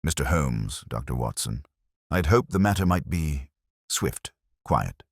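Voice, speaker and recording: A man reads as an uneasy aristocrat, restrained and formal. The voice is very deep and dry, guarded in the manner of a mystery audiobook. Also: expressive, unhurried, no echo, exaggerated voice. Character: thin, restrained, uneasy aristocratic male landowner.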